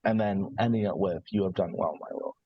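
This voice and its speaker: Deep-voiced male tabletop player, husky, hesitant and conversational, thinking out loud on a somewhat muffled mic. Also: steady tone, a little fast, no room sound. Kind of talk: casual out-of-character game discussion. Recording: slightly dull, lo-fi microphone sound.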